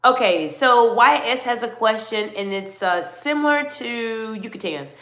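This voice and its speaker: A middle-aged American woman coaching leaders in a livestream, animated and greeting viewers by name. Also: fairly high pitch, measured pace, roomy sound. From United States. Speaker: executive coach. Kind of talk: livestream talk with chat.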